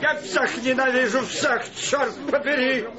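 An actor playing a hot-tempered, exasperated conductor in a radio play, scolding explosively in a shrill voice. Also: male voice, steady tone, measured pace, highs cut off, crowd noise. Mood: furious.

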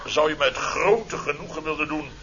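An actor playing a blustering, pompous company boss in a radio comedy, speaking theatrically and indignantly with drawn-out emphasis. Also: male voice, a little high, sharp tone. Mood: pompous reproach. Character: blustering, pompous male boss of a building firm. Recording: dull old radio recording, faint noise.

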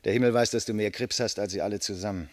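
An actor playing a boisterous, mocking young medical student in a German radio drama, theatrical and teasing, swinging from declaiming to muttering. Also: male voice, on the low side, steady tone, quick pace, a little mellow, close to an everyday voice. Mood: mocking, confiding.

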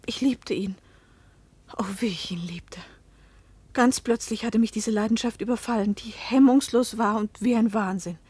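Breathy, remorseful young wife. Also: female voice, a little high, normal speed, darkish tone, dry recording. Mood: confessional, passionate. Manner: intimate, confessional, dramatic. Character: Camilla, passionate, remorseful young wife and mother. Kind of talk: German radio play acting and first-person narration.

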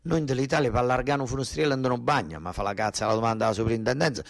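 A man's voice: mid-range voice, quick pace, no echo, studio quality, pitched above the performer's own voice, natural voice. An actor playing an angry, coarse old peasant father with a southern Italian accent, in a theatrical monologue full of repetition. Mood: indignant. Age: older. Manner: coarse dialect, repetitive, exclamatory.

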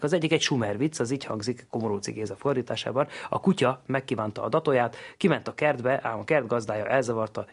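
A male historian on a radio science program, telling old jokes in a lively, amused way with small hesitations. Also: medium pitch. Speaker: ancient historian guest.

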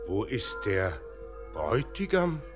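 A man's voice: on the low side, huge pitch swings, slow, full character voice. An actor playing a worried, middle-aged father of a bride in a 1940s radio drama, urgent and theatrical. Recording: echoey 1940s radio recording, music.

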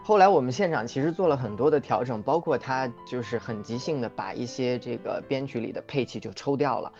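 A man's voice: mid-range voice, a little fast, darkish tone. A man, a singer appearing as a guest, chatting casually on a livestream and explaining things in reflective detail. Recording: dull lo-fi audio, music behind. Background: background music.

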